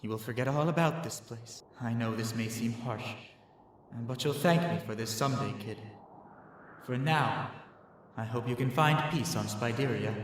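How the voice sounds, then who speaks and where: An actor playing a hesitant, conscience-stricken servant in an audio drama. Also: male voice, mid-range voice, moderate pace, noisy background, lightly acted. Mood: regretful. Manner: hesitant, gentle. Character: conflicted loyal servant with a conscience. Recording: echoey hall heard from far off.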